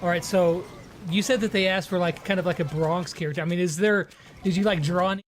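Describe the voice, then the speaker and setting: A man's voice: sits high, fairly even intonation, fast talker, a little hiss or hum. A man hosting a casual, chatty interview and putting questions to his guest. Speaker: interview host.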